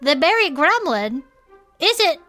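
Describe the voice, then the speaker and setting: A woman's voice: extremely high pitch, very animated, slow, sharp tone, soft background music, exaggerated voice. A woman voicing an eager, chatty cryptid hunter who tells local legends with theatrical suspense on an in-character podcast. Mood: eager, mysterious. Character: Herb, eager cryptid-hunting storyteller, chatty and dramatic.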